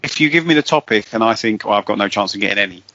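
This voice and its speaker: A man's voice: on the low side, animated, rapid-fire, sharp tone, no echo. A man with a slight Welsh accent on a casual podcast, asking careful questions about the rules and repeating words as he thinks. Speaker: podcast co-host, quiz contestant. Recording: dry, dull lo-fi podcast audio.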